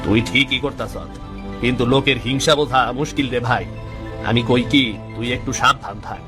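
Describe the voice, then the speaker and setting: A man voicing a concerned, loyal villager who gives measured advice in a cartoon story. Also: medium pitch, music in the background, lightly acted. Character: concerned male villager, cautious loyal friend.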